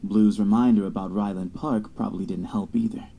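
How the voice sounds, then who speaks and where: A man's voice: on the low side, steady tone, fairly quick, creaky, warm tone, slightly rough. An actor playing a young star quarterback, cocky yet anxious, narrating his own story in an audio drama. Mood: shaken.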